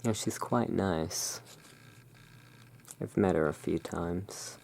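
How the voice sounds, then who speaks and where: A low-pitched, gravelly ASMR voice that moves very slowly through its descriptions. The delivery stays level and hushed, as a roleplaying shopkeeper muses over artwork. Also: slight background noise. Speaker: host of a roleplay as a curiosity emporium owner. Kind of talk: ASMR roleplay, describing tarot card art.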